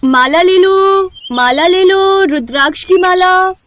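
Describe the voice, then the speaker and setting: A woman voicing an earnest, fed-up young female garland seller in an animated moral story, calling out plaintively. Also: extremely high pitch, takes it slowly, a little hiss or hum, voice pushed up, exaggerated voice.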